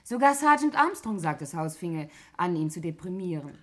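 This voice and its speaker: An actress playing a questioning, quick-to-object stepdaughter in a 1960s German radio play, expressive and insistent. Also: female voice, on the low side.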